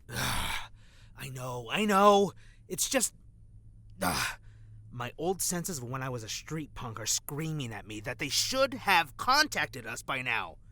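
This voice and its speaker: An actor playing an anxious young undercover agent, a former street punk, in an audio drama with an edgy delivery. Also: male voice, mid-range voice, sing-song, slow, bright, forceful tone, heavily acted. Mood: anxious, frustrated.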